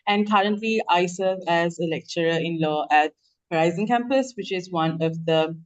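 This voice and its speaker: A woman with a Sri Lankan accent, a law lecturer introducing herself on a panel, polished and animated. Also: on the low side, moderate pace, dull audio.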